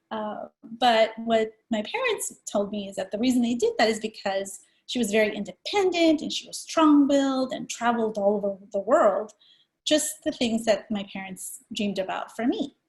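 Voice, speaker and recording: A woman's voice: fairly high pitch, poor audio. A woman in her forties from El Salvador, giving an early-education training presentation in an explanatory, personal manner.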